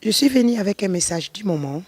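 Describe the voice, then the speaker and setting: A middle-aged woman from Congo giving a slow spoken testimony and preaching, pausing and restarting as she talks. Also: mid-range voice, animated, a little mellow, slightly rough, dry recording. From Congo (DRC). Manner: slow and deliberate, with hesitant restarts.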